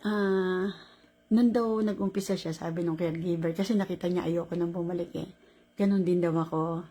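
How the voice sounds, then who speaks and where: A woman in her sixties with a Filipino accent, telling a caregiving story in a casual, animated vlog, laughing often. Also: fairly low pitch, a little fast. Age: 60s. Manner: animated, laughing, mixes Tagalog and English.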